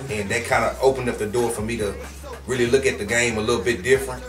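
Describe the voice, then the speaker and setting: A man's voice: medium pitch, fairly even intonation, fast, echoey room, distant mic, over music. A man from Houston, a rapper being interviewed, talking loosely and casually with lots of fillers. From Houston, Texas. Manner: laid-back, slangy, with many fillers. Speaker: guest rapper.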